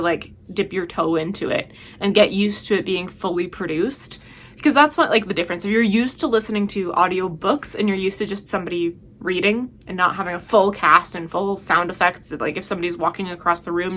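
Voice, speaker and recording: A woman's voice: medium pitch, a bit bright, a little hiss or hum. A woman talking casually as a video host, giving chatty, emphatic and enthusiastic recommendations.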